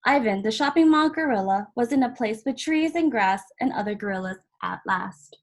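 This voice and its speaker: A woman with a fairly high voice reads a picture book aloud for children. She works through the story in measured, short phrases, leaving small pauses between them. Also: animated. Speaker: volunteer story reader.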